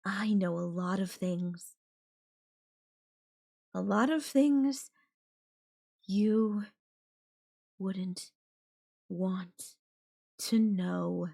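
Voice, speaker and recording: An obsessive yandere girlfriend, acted by a woman for an intimate audio roleplay. She speaks slowly at a middling female pitch, drawing her phrases out coyly. Her casual, chatty wording carries a possessive, playful menace. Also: gravelly, warm tone, exaggerated voice. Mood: teasing, menacing. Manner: coy, drawn-out, casual filler-laden phrasing with giggles and sighs. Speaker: voice actor.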